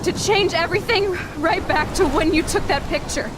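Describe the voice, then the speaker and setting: A woman's voice: very high voice, normal speed. An actress playing a tough, loving young punk woman with an American accent, blunt and earnest in an emotional video game drama. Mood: pained, resolute. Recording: loud storm, rain and thunder behind. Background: rain, thunder, wind.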